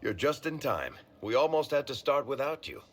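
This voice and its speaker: A man's voice: mid-range voice, very animated, moderate pace, a little hiss or hum. A man playing a wry, world-weary dwarf storyteller who talks in a colloquial, teasing way in a video game. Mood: jovial, teasing. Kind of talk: video game cutscene acting.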